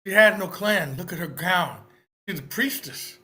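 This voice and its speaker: A woman's voice: lowish voice, expressive, moderate pace, sharp tone. A woman playing a compassionate, motherly young creature huntress in a screenplay table read. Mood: insistent.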